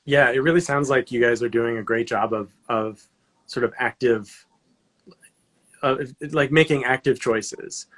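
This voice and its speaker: A man hosting a casual interview, speaking haltingly and rephrasing his points as he goes. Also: mid-range voice, a bit bright. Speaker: interviewer, host.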